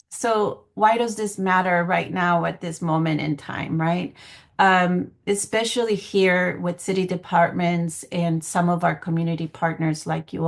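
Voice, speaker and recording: A woman from Guatemala giving a semi-formal online presentation in an earnest, measured way with some hesitant phrasing, speaking over a video call. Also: fairly low pitch. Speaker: public health planning coordinator giving a presentation. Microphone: video call microphone.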